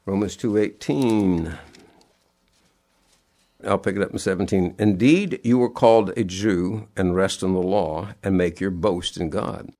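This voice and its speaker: A middle-aged man delivers a sermon in a lowish voice, his tone nasal and sniffly from allergies. He is earnest and plain-spoken, circling back to repeat his key words. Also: unhurried, warm tone. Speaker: preacher.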